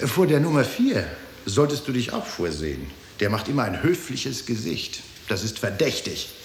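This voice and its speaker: Casting: bored, cynical male clerk, middle pitch, offhand, echoey radio play recording. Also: sing-song, normal speed, gravelly, a little hiss or hum. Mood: wary, confiding. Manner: jaded, offhand, indifferent. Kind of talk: 1960s German radio drama acting.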